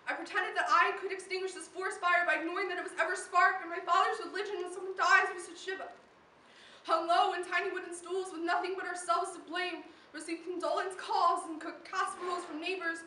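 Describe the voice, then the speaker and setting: A woman's voice: very high-pitched, steady tone, a little fast, sharp tone, slight background noise. A teenage young woman performing slam poetry with a dramatic, emphatic delivery. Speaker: slam poet. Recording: roomy echo, distant microphone.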